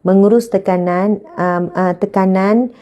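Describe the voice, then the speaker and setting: A woman's voice: mid-range voice, steady tone, unhurried, mellow, lo-fi sound. A woman in her late thirties with a Malaysian Malay accent, giving a chatty religious talk as a teacher, often adding pious exclamations. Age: 30s.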